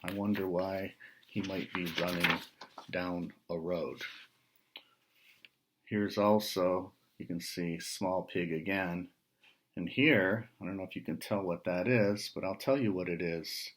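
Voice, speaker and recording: A man with a fairly low voice reads a picture book aloud at a very slow pace. He pauses between short phrases and chats to young listeners, putting questions to them as he goes. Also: fairly even intonation, fairly bright tone. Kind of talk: read-aloud storytelling with casual asides to young listeners.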